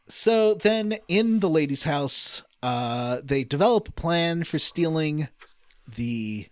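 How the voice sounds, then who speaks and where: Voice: mid-range voice, lively intonation, slow pace, no room sound, clean studio sound. A podcast co-host giving a casual, comedic recap of a video game story and acting out the characters' lines. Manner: acts out characters' lines in quoted voices.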